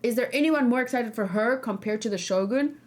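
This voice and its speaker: A woman's voice: on the high side, a little fast. A woman hosting a livestream, talking casually with her viewers in an emphatic, questioning way, repeating key words for stress. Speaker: streamer hosting a reaction and discussion.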